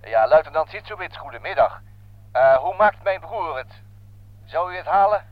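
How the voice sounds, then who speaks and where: A man's voice: a little high, animated, normal speed, dry recording, close to an everyday voice. An actor playing a formal, controlled male army lieutenant in a radio play. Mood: restrained grief. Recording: dull lo-fi old radio play.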